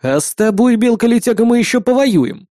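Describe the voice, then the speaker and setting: Cocky sci-fi vigilante, played by a male narrator: high voice, flippant wisecracks, sardonic, dry sound. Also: sing-song, a little fast, dark tone, dry recording. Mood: taunting.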